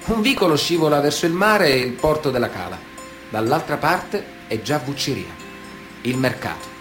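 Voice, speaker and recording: An actor playing a matter-of-fact, chilling Sicilian man of honour in a radio theatre monologue, with a Sicilian accent and a deliberate, theatrical way of talking. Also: on the high side, a bit bright. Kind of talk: dramatic radio monologue, conversational storytelling.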